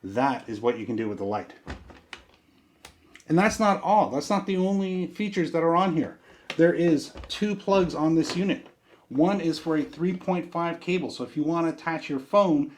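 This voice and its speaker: A man's voice: mid-range voice, a little mellow. A man giving a casual product demonstration, explaining step by step in a conversational, folksy way.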